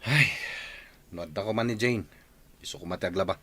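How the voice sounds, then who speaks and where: A man's voice: lowish voice, brisk, bright, forceful tone. A man playing an irritable, lazy husband who grumbles dismissively in a radio drama. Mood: grumbling.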